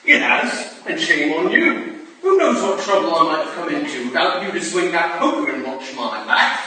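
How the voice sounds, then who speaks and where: An actor playing a cheeky, friendly working-class Victorian police sergeant, colloquial and bantering in a staged play reading. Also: male voice, on the high side, lively intonation, moderate pace, pushed and bright. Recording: echoey room from far off, noisy.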